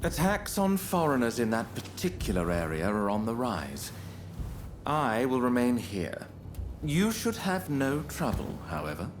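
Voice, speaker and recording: An actor playing a courteous, composed diplomat in a video game, speaking in a polite, formal way. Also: male voice, mid-range voice, wildly swooping pitch, measured pace. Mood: calm. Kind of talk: video game cutscene acting. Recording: clear background ambience noise.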